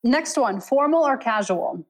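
A woman's voice: high-pitched, lively intonation, measured pace. A woman co-hosting a podcast, talking in an upbeat, playful way and laughing between lines as she explains a game segment. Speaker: podcast co-host.

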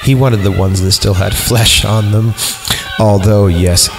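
Low, gravelly man reading horror irreverently. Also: fairly even intonation, measured pace, poor audio. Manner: irreverent, mock-disgusted, often breaks off to comment. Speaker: podcast host reading a story aloud. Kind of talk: comedic narration of a horror story with casual asides. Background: background music.

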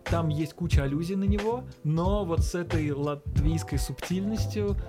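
A man in his thirties chatting casually about culture in a halting way, searching for words. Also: on the high side. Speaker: participant in a culture discussion. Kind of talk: casual chat. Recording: music playing clearly behind the voice. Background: music.

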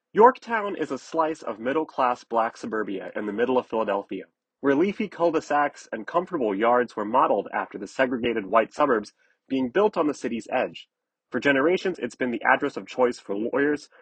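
A man's voice: mid-range voice, a bit bright, husky, no echo, poor audio. A man narrating a news article from a script as a reporter.

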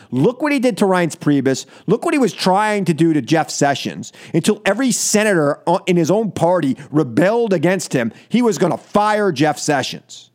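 An American man hosting political talk radio, delivering an opinionated, combative monologue and repeating phrases for emphasis. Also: high-pitched.

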